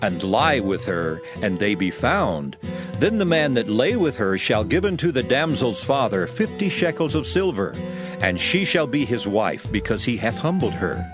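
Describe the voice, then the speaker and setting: A man's voice: medium pitch, big pitch swings, moderate pace. Dramatic, sweeping male scripture narrator over music. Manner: solemn, declamatory. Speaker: audio Bible narrator. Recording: music bed under voice.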